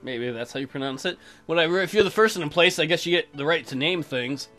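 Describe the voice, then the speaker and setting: A man's voice: a little high, quick pace, a bit bright, faint music behind. A man hosting a comedic let's play, reading game text aloud with crude, irreverent side remarks.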